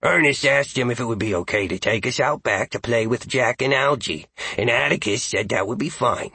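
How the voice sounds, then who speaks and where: A man voicing an excitable, playful male dog who narrates a story in a chatty, goofy, raspy voice. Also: mid-range voice, normal speed, dry recording. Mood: chatty, amused. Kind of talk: first-person audiobook narration.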